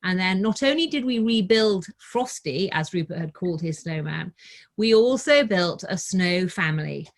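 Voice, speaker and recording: A woman's voice: lowish voice, lively intonation, normal speed. Middle-aged woman telling a children's story. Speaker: guest author reading her children's book. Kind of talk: casual storytelling, then read-aloud of a picture book.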